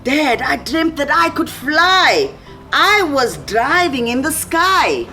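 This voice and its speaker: A woman acts out a small storybook schoolboy, earnest and easily upset. The voice is high and lively, with music behind it. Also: takes it slowly, full character voice. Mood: dreamy.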